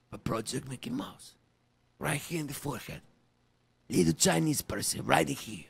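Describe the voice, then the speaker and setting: This is a comic conspiracy rant in broken English, full of wild leaps between shouting and muttering. The voice is bright and forceful with a gravelly rasp. The pitch is very lively throughout.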